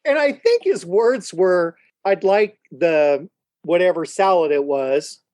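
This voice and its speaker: A man's voice: high voice, expressive, slow pace, darkish tone, no room sound. A man co-hosting a podcast, chatting casually and telling anecdotes. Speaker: podcast co-host.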